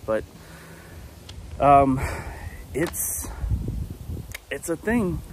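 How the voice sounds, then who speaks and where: A man's voice: medium pitch, a little hiss or hum. A man, chatting casually to a phone in his backyard, rambling and correcting himself as he goes. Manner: rambling, self-correcting, easygoing. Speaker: vlogger. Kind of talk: casual walk-and-talk monologue. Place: backyard outdoors. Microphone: phone held at arm's length.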